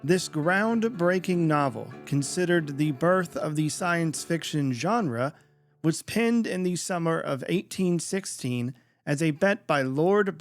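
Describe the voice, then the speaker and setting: A man with a somewhat high voice hosts an audio drama podcast. He reads a prepared introduction in a presenting style, with soft plucked guitar music playing behind him. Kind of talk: scripted introduction.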